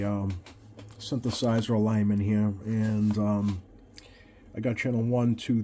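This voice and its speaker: A man giving a casual hands-on repair demonstration at his workbench, talking haltingly and pausing often as he works. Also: lowish voice, warm tone, a little hiss or hum. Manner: halting, hesitant, pausing often while working. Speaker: radio repair hobbyist presenting a bench video. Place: indoors at a workbench.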